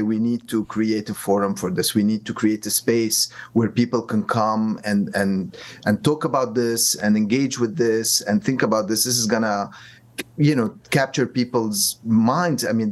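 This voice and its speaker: A man from Lebanon, a professor of Middle Eastern studies, speaking as an interview guest with frequent fillers and restarts. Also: lowish voice. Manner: halting, many restarts and fillers.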